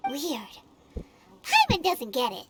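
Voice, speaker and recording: A woman voicing a tiny, excitable, childlike female companion in a video game, squeaky, naive and inquisitive, with noise behind her. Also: slow pace, heavily acted. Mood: puzzled, suspicious. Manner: naive, inquisitive, third-person self-reference. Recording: clear background noise.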